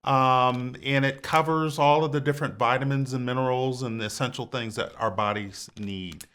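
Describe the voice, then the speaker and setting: A man's voice: mid-range voice, moderate pace, smooth. A man talking casually as a podcast guest, rambling with lots of fillers. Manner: relaxed, rambling with many fillers. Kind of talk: casual conversation.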